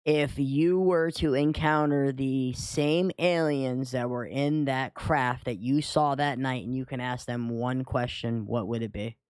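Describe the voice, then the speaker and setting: A man's voice: mid-range voice, steady tone, unhurried, few pauses, darkish tone, clear, resonant tone, no echo. A man co-hosting and interviewing on a casual livestream. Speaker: co-host interviewer.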